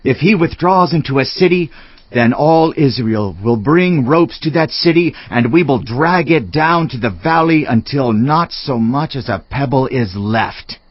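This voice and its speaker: An actor playing a grave, persuasive royal adviser in a dramatized scripture reading, speaking with measured, emphatic oratory. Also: male voice, mid-range voice, expressive, dry recording, lo-fi sound. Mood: urgent, rousing.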